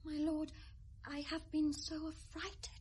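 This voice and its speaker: A fragile, distressed young noblewoman, high-pitched and tremulous, with a British accent. She speaks in classical Shakespearean radio-drama style, frightened and dutiful. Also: monotone, slow, a little mellow. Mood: frightened, hushed.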